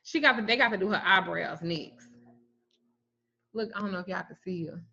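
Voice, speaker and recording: A woman in her forties, a spiritual reader, telling stories on a livestream in a blunt, profane, animated way. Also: medium pitch, moderate pace, vocal fry. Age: 40s. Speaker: spiritual reader and root worker. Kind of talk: casual livestream talk. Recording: muffled lo-fi stream audio.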